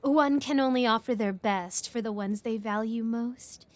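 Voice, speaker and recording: A woman's voice: fairly high pitch, measured pace, natural voice. A woman playing a scheming noblewoman in a fantasy romance audio drama, formal and pointedly polite. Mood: slighted, insistent. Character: haughty, scheming noblewoman duchess, politely slighted.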